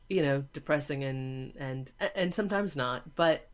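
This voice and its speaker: A woman's voice: a bass voice, slow. A woman answering casually as an interview guest, stressing her points by repeating phrases. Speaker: interview guest, teacher of storytelling students.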